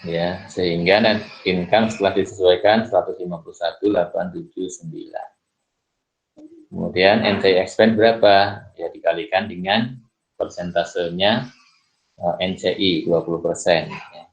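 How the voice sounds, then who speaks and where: A man's voice: deep voice, fairly even intonation, normal speed, highs cut off. A man giving an informal worked-solution lesson as a lecturer, thinking aloud step by step and often asking himself questions before answering. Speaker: accounting lecturer.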